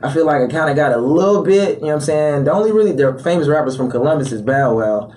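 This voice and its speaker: A man's voice: on the high side, fast talker. Young rapper guy in a podcast studio, easygoing chatty, mic kinda far off. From Atlanta area, born in Chicago. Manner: relaxed, loose, lots of "like" fillers. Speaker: rapper guest. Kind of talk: casual interview chat. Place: small podcast studio with foam panels. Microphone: studio mic on boom arm.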